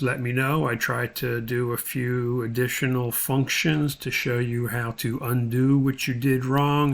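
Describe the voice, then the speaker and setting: A man's voice: mid-range voice, vocal fry. A man giving an informal screen tutorial as an instructor, thinking aloud step by step as he demonstrates.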